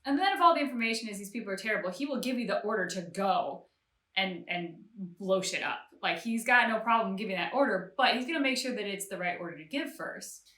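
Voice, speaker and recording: A woman with a bright, forceful voice at an average pitch, chatting casually as a reaction co-host. She explains her points with emphasis. The recording is roomy and echoey. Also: lively intonation, brisk. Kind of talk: casual discussion of a game story.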